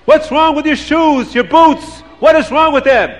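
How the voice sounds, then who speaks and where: A man voicing an imperious Tsar who screams commanding, drawn-out questions at his soldiers, in a storytelling performance. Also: high-pitched, a bit bright. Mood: screaming, accusing. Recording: long hall reverb.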